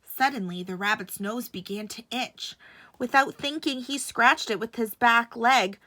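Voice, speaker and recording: A woman reads a children's bedtime story expressively. Her voice is bright and somewhat high, delivered as narration for young listeners. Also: measured pace.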